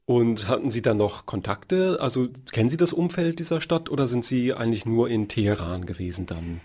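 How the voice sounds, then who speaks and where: A man hosting a radio interview and asking measured questions. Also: medium pitch, animated, darkish tone.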